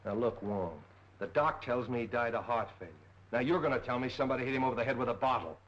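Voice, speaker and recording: A man's voice: medium pitch, fast talker, close to an everyday voice. A man playing an impatient, skeptical police captain with blunt, slangy delivery in a 1930s film. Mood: gruff, bossy. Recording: muffled 1930s film soundtrack.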